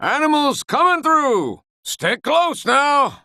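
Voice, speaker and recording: A rustic herder from a video game, folksy and hollering. The voice is creaky and very high, with slow, drawn-out delivery. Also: male voice, very animated, bright, forceful tone, no room sound, clean studio sound, heavily acted. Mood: hollering, bossy.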